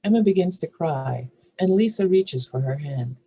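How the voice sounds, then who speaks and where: Brief: female narrator for screenplay directions, scripted read, husky tone. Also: sits low, animated, normal speed, warm tone. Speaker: narrator reading stage directions.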